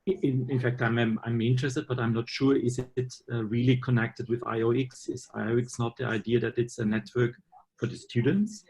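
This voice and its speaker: A man from Austria who heads a university teacher-training department, speaking in a video meeting in a measured, skeptical way with frequent restarts. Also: mid-range voice, level tone, a little mellow, husky, poor audio.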